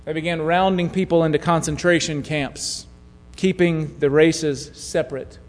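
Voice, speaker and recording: A man preaching a sermon, telling a story in a measured way that drops to a hush at key moments. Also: on the high side, fairly even intonation. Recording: long hall reverb.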